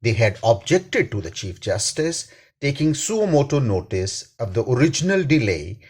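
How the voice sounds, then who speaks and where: Male reader, Pakistani accent. Measured and formal editorial read, husky tone, lively rise and fall. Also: mid-range voice. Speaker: reader, attorney and law professor. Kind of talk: scripted read-aloud of a newspaper editorial.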